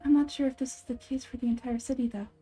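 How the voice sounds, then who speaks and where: A woman's voice: high-pitched, fairly even intonation, fast, mellow, breathy edge, faint music behind. A woman reading video game dialogue aloud as an amateur voice actor.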